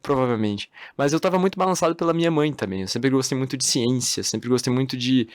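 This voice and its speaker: A young man from the Northeast of Brazil, a podcast guest telling his life story in relaxed conversation and laughing often. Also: mid-range voice, fast talker, no echo, studio quality. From Natal, Northeast Brazil.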